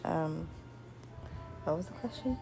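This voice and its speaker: A woman's voice: low voice, lively intonation, slow pace, vocal fry, rough recording. A young woman chatting casually as a game streamer, rambling and a bit hesitant. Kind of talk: casual livestream chat. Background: loud game music and dialogue.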